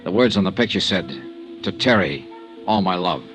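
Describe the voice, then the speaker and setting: A cool American private investigator from 1950s radio drama, low in pitch. He is guarded and clipped, telling his case in understated hard-boiled style, with music in the mix. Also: expressive, normal speed. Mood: dry, reflective. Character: cool, guarded male freelance insurance investigator. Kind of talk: 1950s radio detective acting with first-person narration.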